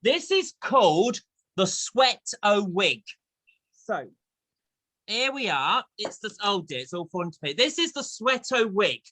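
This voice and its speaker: A man's voice: high-pitched, very animated, measured pace, sharp tone, no room sound. A man hosting an online chat show in a chatty, playful, enthusiastic and jokey way.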